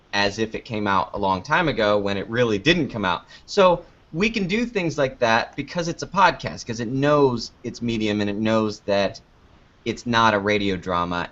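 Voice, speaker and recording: Male podcast guest, slightly low voice, loose conversational answers that wander and double back, dull recording. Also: fairly bright tone. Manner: rambling, self-interrupting, informal. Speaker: interview guest, podcast creator. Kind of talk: casual conversational interview answers. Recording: dull lo-fi online call audio.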